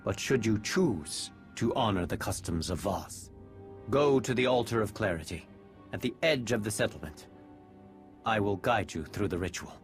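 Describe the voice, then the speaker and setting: A man playing a solemn alien mystic in a video game, guiding an outsider with formal, measured speech. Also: lowish voice, expressive, soft background music, lightly acted. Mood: solemn, instructive.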